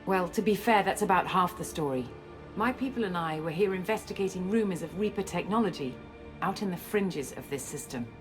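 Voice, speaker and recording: A woman playing a guarded, self-assured scientist and space operative in a video game, explaining things in a measured way. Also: on the low side, animated, natural voice. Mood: composed, explaining. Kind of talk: video game cutscene acting. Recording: music under the dialogue.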